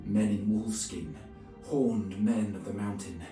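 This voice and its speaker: An actor playing a grave, pious medieval crusader who narrates his campaign journal in a solemn, formal, measured way. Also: on the low side, fairly even intonation, dark tone, reverberant, far from the mic, lightly acted. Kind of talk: scripted dramatic narration. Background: music.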